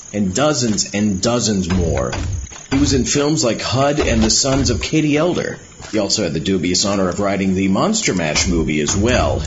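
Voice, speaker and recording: A man hosting a horror podcast with scripted, wry, tongue-in-cheek narration. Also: fairly low pitch. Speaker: podcast host. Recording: dull lo-fi sound, clear background noise. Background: loud noise with clicks.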